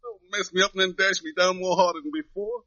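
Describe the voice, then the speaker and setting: Jailed drifter, pleading, resigned, unschooled folksy speech, bright tense tone, dry dull vintage radio sound. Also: male voice, high voice, very animated, quick pace, no room sound, full character voice. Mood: anguished, pleading. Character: despairing wrongly jailed young drifter, pleading, plain-spoken. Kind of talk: 1950s radio drama acting. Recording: dull lo-fi old radio recording.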